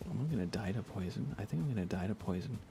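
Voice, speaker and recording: A man live streaming a game in a casual ASMR style, chatting softly with viewers in a hushed voice over background noise. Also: fairly low pitch, animated, fast talker, vocal fry.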